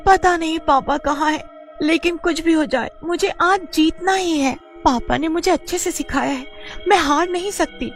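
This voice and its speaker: A woman voicing an earnest young girl wrestler, a devoted daughter, in an animated story. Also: extremely high pitch, moderate pace, faint music behind, voice pushed up. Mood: determined. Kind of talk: Hindi animated story acting.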